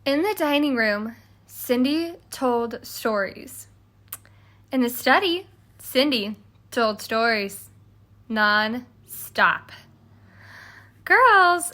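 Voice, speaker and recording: A woman's voice: fairly high pitch, bright, forceful tone, full character voice. A woman reading a children's storybook as a haughty, exasperated stepmother who tells her side with dramatic emphasis. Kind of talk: scripted children's storybook read-aloud.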